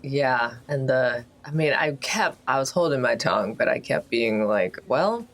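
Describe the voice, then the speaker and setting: A man's voice: medium pitch, expressive, a little slow, fairly bright tone. A man chatting casually as a player in a tabletop roleplaying game, wry and agreeable. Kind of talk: casual tabletop actual-play chat.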